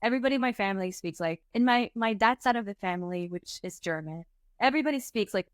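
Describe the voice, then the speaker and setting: A young woman in her twenties from Venezuela, telling her story as an interview guest in a chatty, animated way with many fillers. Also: mid-range voice, fast talker, dry recording, clean studio sound. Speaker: startup co-founder, guest. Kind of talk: casual interview talk.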